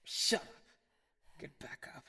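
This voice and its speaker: A woman's voice: slow pace, heavy background noise, natural voice. A woman acting a sardonic, menacing enforcer in an audio drama. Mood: irritated menace. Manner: smug, sarcastic, threatening.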